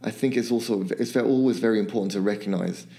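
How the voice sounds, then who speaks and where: A man in his late thirties, born in England and raised in Mexico, talking as an interview guest, halting with many fillers and restarts. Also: fairly low pitch, dark tone. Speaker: guest artist and photographer. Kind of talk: casual interview talk.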